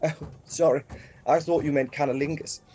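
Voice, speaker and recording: Bloke doing gloomy old uncle in a radio play, exasperated n tired, bit of background noise. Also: male voice, fairly high pitch, fairly even intonation, normal speed, dark tone, close to an everyday voice. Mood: troubled. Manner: weary, hesitant, exasperated. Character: gloomy, troubled older uncle, reformed miser. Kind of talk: comic radio play acting.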